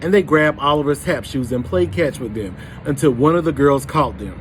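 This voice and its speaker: Man reading a storybook over clear background noise. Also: medium pitch. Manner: pauses to prompt young listeners. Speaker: storybook reader for children. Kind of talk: picture book read-aloud with questions to listeners.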